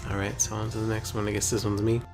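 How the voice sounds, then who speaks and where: Low-voiced man over loud guitar music. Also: level tone, very fast. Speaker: co-host. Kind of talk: casual chat and reading aloud with commentary.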